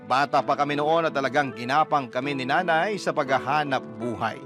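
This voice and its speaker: A man's voice: medium pitch, fairly quick. Filipino male storyteller over prominent music. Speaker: radio drama narrator. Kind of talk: dramatic first-person letter reading. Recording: prominent music bed under narration.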